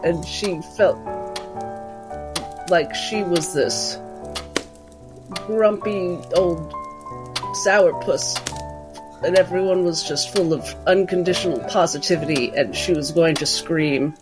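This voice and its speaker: A woman's voice: fairly low pitch, slow pace, long pauses. A woman playing a tabletop game, improvising her character's thoughts in the third person in a reflective, wry way. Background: background music.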